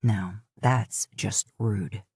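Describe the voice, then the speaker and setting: A woman voicing a gruff, wry cowboy ex-soldier in an audiobook, muttering dryly. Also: extremely slow, warm tone, no echo, exaggerated voice. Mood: wry, teasing. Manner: low, muttering, dry.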